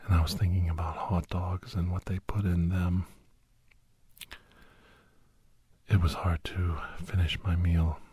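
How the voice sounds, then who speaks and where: A weary, wry doctor acted by a man recounts his adventures in an ASMR story. His voice is very husky and breathy, often sinking into a whisper, low and soft-edged. The delivery is deadpan and intimate, recorded close and dry. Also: moderate pace, no room sound. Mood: wry disgust. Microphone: close, dry microphone.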